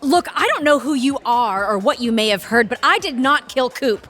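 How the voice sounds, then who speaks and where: An actress playing an uptight, ambitious lawyer under stress, formal, hesitant and defensive, in an audio drama. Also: female voice, high voice, expressive, normal speed, a bit bright, faint music behind. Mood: wary, defensive.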